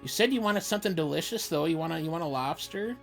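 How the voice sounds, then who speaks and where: A woman's voice: sits low, animated, quick pace, gravelly, soft background music. A woman chatting casually as she plays a video game and comments on it. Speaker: game player. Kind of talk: casual gameplay chat.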